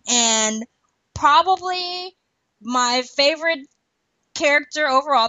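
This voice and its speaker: A woman's voice: a little high, pushed and bright, no room sound. A woman hosting a podcast, chatting casually and colloquially with strong opinions. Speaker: podcast host. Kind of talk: casual TV discussion chat. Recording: dull, muffled lo-fi audio.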